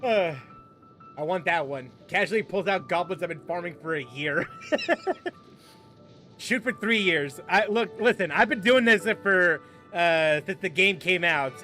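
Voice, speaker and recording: A man's voice: high voice, measured pace, bright, forceful tone, faint music behind. A man streaming a video game, chatting casually with viewers and rambling with frequent laughs. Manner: rambling, laughs often, restarts sentences. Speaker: gaming streamer. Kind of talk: casual live-stream commentary.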